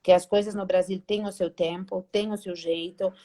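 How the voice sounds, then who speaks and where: A middle-aged woman from Spain with a Spanish accent, a guest on a video-call interview, telling animated stories and acting out old conversations. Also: on the low side, moderate pace. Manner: animated, acts out past dialogues, frequent "né?" tags. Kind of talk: casual storytelling interview.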